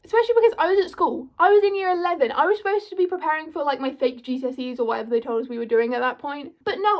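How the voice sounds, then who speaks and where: Brief: woman, 21, high voice, chatty and self-mocking to camera. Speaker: vlogger. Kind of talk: casual chatty commentary.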